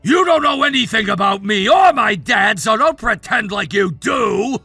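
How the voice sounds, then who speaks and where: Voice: high voice, big pitch swings, slow pace, gravelly, pushed and bright, no room sound, faint music behind, exaggerated voice. A performer voicing a spoiled, entitled young adult son in a melodramatic story, whiny and indignant. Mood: defiant. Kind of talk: melodramatic narrated story dialogue.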